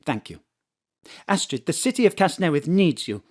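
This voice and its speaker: Brisk mayor lady, formal and polite but blunt, lowish voice, home studio mic. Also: very animated, darkish tone, slightly rough, exaggerated voice. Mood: businesslike.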